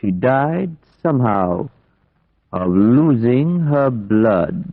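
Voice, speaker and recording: Courteous foreign professor, formal phrasing, animated pitch, dry thin radio-play sound. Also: male voice, very slow, no room sound, heavily acted. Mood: grave, sorrowful. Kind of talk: vintage radio drama acting. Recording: dry, tinny old radio recording.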